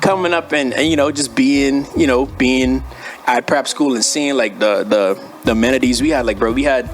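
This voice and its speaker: A man's voice: mid-range voice, normal speed. A young man with a Bahamian accent chatting casually as an interview guest, in a laid-back, slangy way. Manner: laid-back, slangy, frequently says bro and you know what I mean. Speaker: interview guest, former athlete and brand owner. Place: studio. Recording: music playing behind the voice. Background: music.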